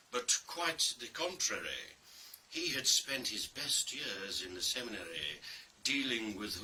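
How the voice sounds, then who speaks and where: A man reads a dark story as dramatic scripted narration, theatrical and deliberate, with pauses and heavy emphasis. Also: lowish voice. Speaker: reader of a play. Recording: distant mic with faint background noise.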